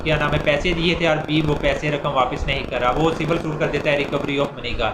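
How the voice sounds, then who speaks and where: Male lecturer, Pakistani accent, slightly high-pitched, patient repetitive explaining, roomy echoing sound. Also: fairly even intonation, a little fast. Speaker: law lecturer. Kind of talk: informal lecture, explaining with examples. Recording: echoey room with clear background noise.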